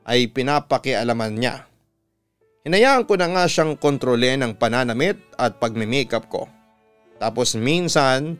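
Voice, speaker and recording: A man reading a listener's letter as a dramatic first-person story, in a measured, earnest radio announcer's manner. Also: medium pitch, clear, resonant tone. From Philippines.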